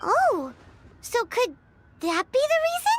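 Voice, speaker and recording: Casual: squeaky little game sidekick girl, super animated, talks about herself by name. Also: slow, full character voice. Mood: puzzled. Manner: lively, exclamatory, speaks of herself by name. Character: tiny floating female companion, childlike and excitable. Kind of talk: video game cutscene voice acting. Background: game music.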